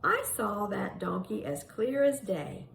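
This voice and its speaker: A woman's voice: mid-range voice, takes it slowly, vocal fry. A woman voicing a mean, hungry male lion who mutters in puzzlement, in a children's storybook. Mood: bewildered. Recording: echoey room with faint background noise.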